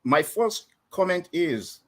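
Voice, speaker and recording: A man's voice: high voice, very animated, slow pace, fairly bright tone. A Nigerian man with a Nigerian accent calling in to a podcast, talking hesitantly with many fillers. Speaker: caller on a live-stream podcast. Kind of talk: call-in comment.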